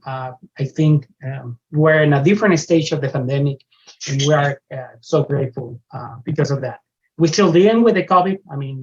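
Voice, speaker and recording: A man with a strong Mexican accent, a Spanish speaker, earnestly addressing parents at an orientation over a video call, repeating himself often. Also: fairly high pitch. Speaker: parish religious education coordinator. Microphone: video call microphone. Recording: dull lo-fi video call audio.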